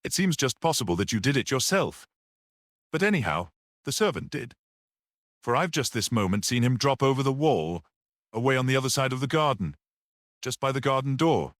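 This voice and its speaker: Guy doing a touchy little storybook suspect, pitched up, snappy and defiant. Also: lively intonation, normal speed, fairly bright tone, dry recording, studio quality, natural voice. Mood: grim, calm. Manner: snappy, growling retorts.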